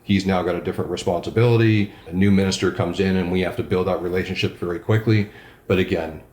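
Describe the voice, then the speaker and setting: A man's voice: deep voice, fairly even intonation, quick pace, reverberant. A man, a regional councillor, answering interview questions with emphatic enthusiasm. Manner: enthusiastic, emphatic, self-described loud voice.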